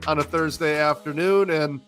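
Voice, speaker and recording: A man hosting a casual sports podcast, upbeat and rambling, his voice gravelly from being sick. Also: on the high side, steady tone, moderate pace, a bit bright, soft background music. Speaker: podcast host.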